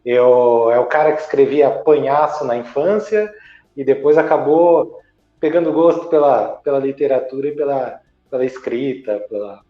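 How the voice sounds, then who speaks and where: A man from Santa Catarina, Brazil, a businessman and journalist, answering interview questions in a measured, explanatory way and pausing to find his words. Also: mid-range voice, soft background music. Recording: echoey, dull lo-fi sound.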